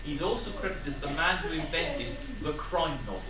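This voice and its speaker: A man's voice: a little high, lively intonation, fairly quick. Man, audience member, casual suggestions, far from mic, echoey room. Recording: echoey hall, far off, loud crowd.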